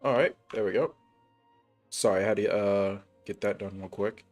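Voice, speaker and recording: A man with a fairly low voice streams a game and chats casually to viewers. His delivery rambles, full of hesitation and slang. Music plays clearly enough to hear behind him. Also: fairly even intonation, normal speed, darkish tone. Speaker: live streamer. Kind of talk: casual gaming livestream chat.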